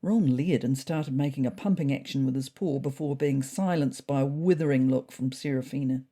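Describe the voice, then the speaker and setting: A woman playing a wry, observant cat narrator, telling a scripted comic diary story in a husky, expressive voice. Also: a bass voice, moderate pace.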